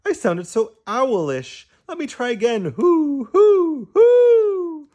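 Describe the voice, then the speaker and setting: A man's voice: very high voice, sing-song, mellow, pitched above the performer's own voice, full character voice. A man voicing an eager, chatty young female bird who asks question after question in a chirpy voice. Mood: excited. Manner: chirpy, rapid-fire questions.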